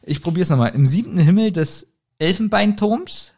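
A man's voice: high-pitched, expressive, darkish tone, breathy edge. A man with a slightly raised voice retells a story as a podcast co-host. He chats casually and sounds amused. He stumbles a little when reading out tricky phrases. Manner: amused, reads out clues haltingly. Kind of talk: casual chat retelling a kids' audio drama.

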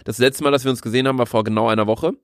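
A man's voice: mid-range voice, steady tone, racing, no echo. A young man chats casually as a podcast co-host, his voice a little nasal from a slight cold. He sounds tired but teases in loose slang, recorded dry with hardly any room. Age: young adult. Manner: slangy, teasing. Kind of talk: casual bantering chat.

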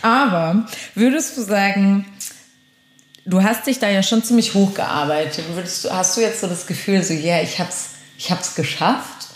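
A woman's voice: mid-range voice, normal speed. A woman hosting a casual interview, chatty and encouraging, often filling in with short agreements. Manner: chatty, encouraging, frequent backchannel. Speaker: podcast host. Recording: roomy, echoey sound.

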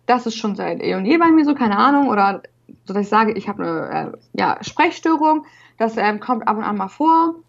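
A woman's voice: on the high side, sing-song, moderate pace, gravelly. A young woman who stutters, talking as a guest on a podcast with repeated syllables and frequent fillers. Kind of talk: casual interview talk. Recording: dull lo-fi sound.

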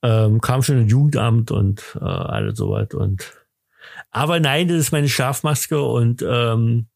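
A man hosting a podcast in a casual, rambling chat, talking haltingly with joking self-importance. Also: mid-range voice, very animated, gravelly, darkish tone, dry recording, clean studio sound. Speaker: podcast host.

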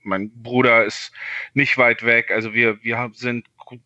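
A man's voice: mid-range voice, little pitch movement, unhurried, bright, forceful tone, no room sound, highs cut off. Hesitant man from Berlin on a call. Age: 30s. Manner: hesitant, many fillers. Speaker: podcast guest. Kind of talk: casual interview. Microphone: video call.

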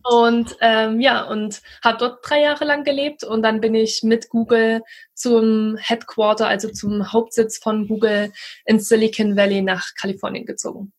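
30s woman from eastern germany, kinda husky breathy voice, a bit high, talks things through slow and thoughtful. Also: level tone. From Saxony-Anhalt, Germany. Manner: reflective, measured storytelling. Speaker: guest. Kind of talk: casual conversation.

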